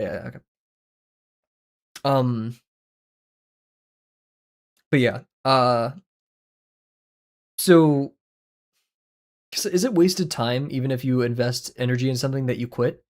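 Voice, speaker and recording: A man's voice: mid-range voice, a little mellow. A young man streaming from a small home room as he draws, chatting in a rambling, casual way full of fillers and self-deprecating asides into a headset mic. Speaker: art streamer. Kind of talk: casual livestream chat while drawing. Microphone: headset with boom mic.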